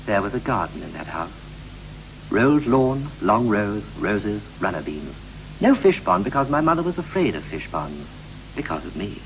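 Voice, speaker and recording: An actor playing a wistful British husband recalling his boyhood in clipped, poetic verse with deliberate pauses, in the style of a 1960s radio play. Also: on the low side, sing-song, vocal fry, low-quality recording. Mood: reflective, rhythmic. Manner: incantatory short phrases, deliberate pauses. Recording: vintage 1960s radio recording with hum and hiss.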